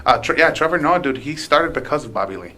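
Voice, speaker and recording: A man's voice: a little high, animated, fast talker, pushed and bright, faint music behind. A man in his late twenties, a guest telling stories in a casual, profane, rambling way with many restarts. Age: late 20s. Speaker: podcast guest, aspiring comedian. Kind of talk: casual storytelling chat.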